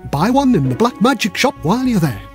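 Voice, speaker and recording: A showy, mischievous male TV presenter, put on by an audiobook reader, addresses his audience in a high voice. His delivery swoops in lively, upbeat parody. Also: fairly quick, music in the background.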